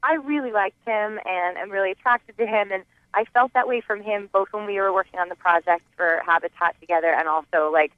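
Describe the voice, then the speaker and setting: A woman's voice: mid-range voice, a little fast, few pauses, dry recording. A woman calling a radio show by phone, casually telling a personal story in a hesitant way, often saying "like". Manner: hesitant, filler-heavy with frequent "like". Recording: muffled telephone line on radio.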